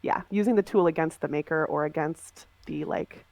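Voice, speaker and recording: A woman's voice: low voice, normal speed, vocal fry, a little mellow, no echo. A woman player talking casually about a tabletop game, thinking aloud with many restarts and fillers.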